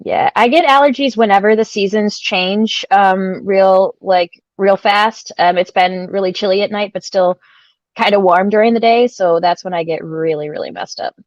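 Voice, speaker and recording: A woman's voice: medium pitch, normal speed, no echo. A chatty woman with a stuffy, sniffly nose talks and laughs freely. Her voice comes through dry and close, with the dull, lo-fi sound of an online call. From central Illinois, US. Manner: chatty, laughs often, animated. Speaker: guest co-host on a crypto stream. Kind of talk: casual chat.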